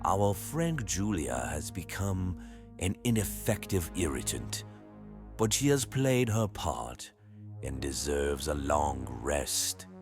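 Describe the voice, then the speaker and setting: A man plays a scheming world president in an audio drama, power-hungry and coldly villainous. The delivery is slow and theatrical, swinging widely in pitch with measured menace. Music plays softly underneath. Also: sing-song, full character voice. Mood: coldly menacing.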